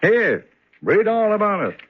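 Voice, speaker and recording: An actor playing a concerned, puzzled young son and fiance in an old-time radio drama, casual and earnest. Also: male voice, sits high, wildly swooping pitch, measured pace, exaggerated voice. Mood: troubled, puzzled. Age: young adult. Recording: dull lo-fi radio drama recording.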